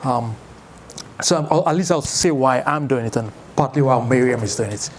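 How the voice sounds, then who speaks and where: A man in his thirties with a Nigerian accent, giving an informal, conversational talk as a founder introducing an event, in a loose, halting way. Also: medium pitch, a little hiss or hum. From Lagos, Nigeria. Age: 30s. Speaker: startup founder and event host.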